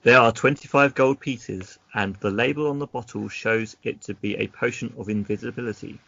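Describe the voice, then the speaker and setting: A man's voice: mid-range voice, fairly even intonation, moderate pace, vocal fry, a bit bright, no echo, highs cut off. A man narrating an adventure gamebook as game master, casually, breaking off into chatty asides.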